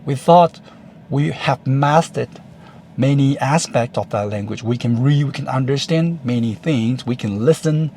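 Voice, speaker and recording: A Taiwanese-accented man, Chinese his first language, talks in English at a slow, deliberate pace in a voice of middling pitch. He pauses often and leans on fillers as he works through his thoughts. A faint hiss of noise sits behind him. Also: warm tone. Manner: measured, unhurried, with frequent pauses and fillers. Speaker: YouTuber. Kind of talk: casual talk to camera.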